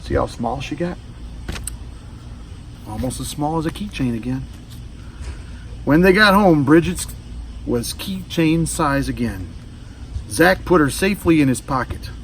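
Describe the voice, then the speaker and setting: A man reading a children's storybook aloud in an expressive, animated style. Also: fairly high pitch, unhurried, long pauses. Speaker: storybook reader. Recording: distant mic with faint background noise.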